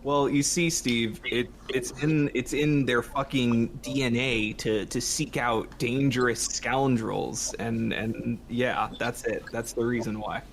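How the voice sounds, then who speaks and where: A man's voice: mid-range voice, fairly even intonation, slow pace, creaky, few pauses, slight background noise. Sarcastic joking man. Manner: sarcastic, joking, mock-serious. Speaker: podcast co-host. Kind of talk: casual chat.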